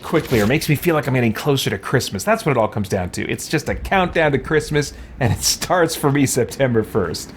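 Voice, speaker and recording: A man's voice: mid-range voice, lively intonation, a little fast, hardly any pauses, a little hiss or hum. A man giving casual, rambling commentary as he streams a video game. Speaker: streamer and host of a game let's-play.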